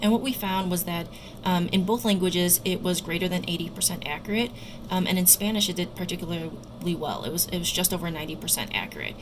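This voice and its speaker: A woman doctor and researcher giving careful, somewhat halting explanatory answers as an interview guest. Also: lowish voice, expressive. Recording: loud background noise.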